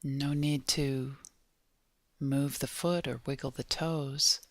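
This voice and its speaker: A woman leading a guided body scan meditation in a gentle, measured manner, leaving long pauses between short phrases. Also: rumbling low, fairly even intonation, dry recording.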